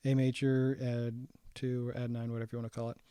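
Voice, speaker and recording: Young man thinking aloud, raspy, halting. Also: mid-range voice, monotone, mellow, dry recording. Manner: halting, many ums and restarts, thinking aloud. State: voice getting raspy. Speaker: saxophonist walking through his own multitrack arrangement. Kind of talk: casual screen-share breakdown.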